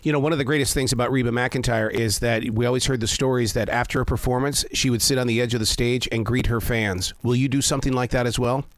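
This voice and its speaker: A male show host interviews a guest in a conversational way. He is effusive and encouraging, with a middle-pitched voice in a very dry recording. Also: steady tone, fast talker, hardly any pauses, dry recording.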